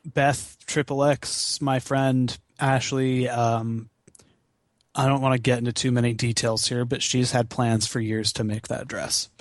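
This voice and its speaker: A man's voice: mid-range voice, flat delivery, measured pace, no room sound, studio quality. A man chatting casually as a podcast guest, wry and given to swearing. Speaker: podcast guest, charity gaming marathon organizer. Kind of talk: casual chat.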